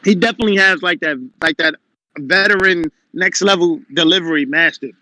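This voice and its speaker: An excitable man in a group chat who stammers and restarts as he talks. His voice is high and very bright, with a lot of swing, and the sound is close and dry. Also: a little fast, no echo, rough recording. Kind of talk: casual group chat about battle rap.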